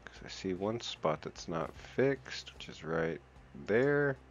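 A man's voice: low-pitched, animated, slow pace, vocal fry, lo-fi sound, a little hiss or hum. A man hosting a gaming channel, talking to himself casually as he builds, counting under his breath and thinking aloud. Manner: counts and mutters while building, self-talk. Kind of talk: casual let's-play commentary.

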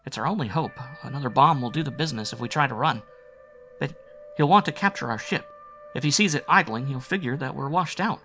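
A male reader plays a quick-thinking spaceship crewman in a science fiction story. His voice is lifted higher, and he reasons things out in an explanatory, level-headed way, with faint music behind. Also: sharp tone. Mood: tense, calculating. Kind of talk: science fiction audiobook character.

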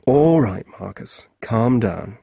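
A blunt, exasperated grown man, as a male reader puts him on, his pitch swinging widely. The voice is husky and dark. It sounds dry and close. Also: expressive, slow, no echo, heavily acted. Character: weary, blunt adult man, impatient with a boy.

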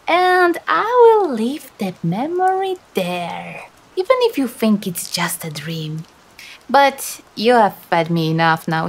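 A woman voicing a teasing but sympathetic female demon in an intimate ASMR roleplay, coaxing softly with dramatic hesitations. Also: medium pitch. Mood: sly, smug.

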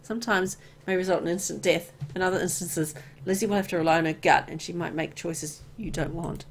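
A woman reading visual novel text aloud as expressive, storytelling narration. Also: lowish voice, brisk, slight background noise. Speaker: video game player and narrator.

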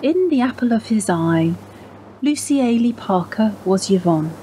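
A woman announcer reading scripted credits in a measured narration style. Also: a little high, expressive, very noisy.

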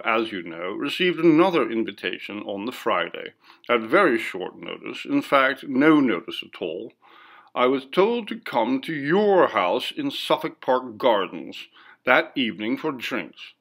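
A man reading a classic ghost story as scripted narration, in a measured, formal manner with acted dialogue. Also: mid-range voice, fairly bright tone. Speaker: audiobook reader.

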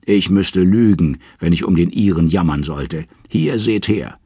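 A man acts out an elderly troll woman in a mythic storybook. She is bitter, scornful and unmoved, her voice creaky and hoarse-edged in a dry recording. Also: low-pitched, a little slow, dark tone, no room sound, heavily acted. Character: bitter, defiant old female troll.

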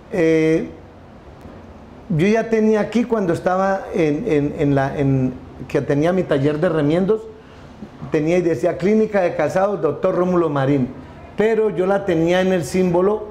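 A man's voice: on the high side, dark tone. A middle-aged man telling a story in a casual interview, rambling with many restarts and repeated words. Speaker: company founder, interview guest. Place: room with wooden wolf statue, indoors. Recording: echoey room, faint background noise.

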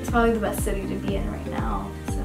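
A woman's voice: mid-range voice, very animated, rapid-fire. A young adult woman from the United States and Qatar, chatting casually as a guest. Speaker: guest roommate, university student. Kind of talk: casual chat. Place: small dorm room, indoors. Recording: roomy, distant mic, music behind.

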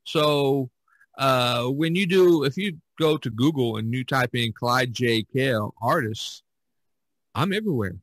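A man chats casually as a podcast host, with a medium-pitched voice in a dry recording. His delivery is folksy and rambling, often halting as he works toward his point. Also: a bit bright, no room sound. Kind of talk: casual conversation.

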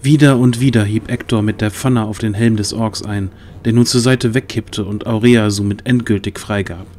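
A man's voice: lowish voice, steady tone, moderate pace, darkish tone. A man reading scripted, dramatic fantasy narration as the narrator of an audio drama.

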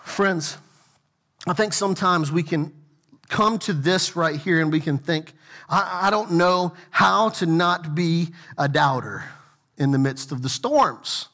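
A middle-aged man preaching a sermon as senior pastor, moving between quiet, low asides and raised, emphatic lines as he walks a church stage. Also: slow. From United States. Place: large church sanctuary with stage.

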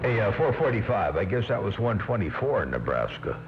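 A man's voice: on the low side, sing-song, brisk, loud music behind the voice. A man chatting casually in folksy, repetitive slang as an operator over CB radio. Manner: folksy, repeats himself. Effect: CB radio transmission. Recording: muffled CB radio with loud humming interference.

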